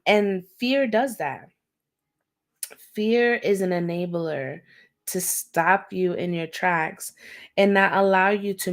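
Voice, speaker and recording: A woman with a raspy, slightly hoarse voice, talking casually and in slang as she gives an intuitive reading on a livestream, often checking in with her listeners. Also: mid-range voice. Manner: slangy, conversational, often seeking agreement with "right?" and "okay?". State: hoarse, going easy on throat. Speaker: livestream tarot reader, host.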